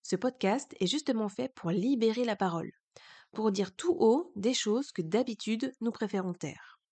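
A woman's voice: fairly high pitch, moderate pace, mellow. A woman hosting a podcast, speaking candidly and earnestly in a reflective personal monologue, her voice changed by a cold. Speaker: podcast host.

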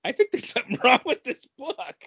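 A man co-hosting a podcast in casual chat, with an animated, teasing delivery. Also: very high voice, brisk, husky, highs cut off. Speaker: podcast co-host.

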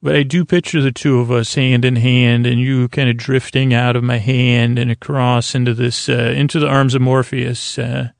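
Creaky-voiced man, rambling and halting. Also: medium pitch, level tone, dry recording. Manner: halting, meandering, self-deprecating. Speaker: host of a sleep podcast. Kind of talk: rambling, improvised bedtime monologue.

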